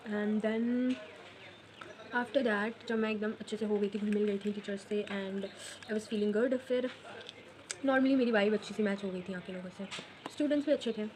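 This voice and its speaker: A woman in her late teens telling a casual story while drawing, chatty and quick, mixing English phrases into her talk. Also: medium pitch, fairly even intonation, a little mellow, slight background noise, low-quality recording. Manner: chatty, fast, mixes English phrases into Hindi. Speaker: vlogger.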